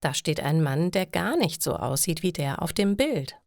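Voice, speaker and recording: A woman reading a short, scripted story for language learners, phrasing it carefully and clearly. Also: low-pitched, big pitch swings, moderate pace, no echo, clean studio sound.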